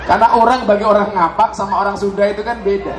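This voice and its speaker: A man's voice: sits high, steady tone, fast. A man with a Javanese accent preaching a humorous sermon to a live crowd, teasing them and mixing in Javanese slang. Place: large event hall with live audience. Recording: echoey hall, loud crowd noise.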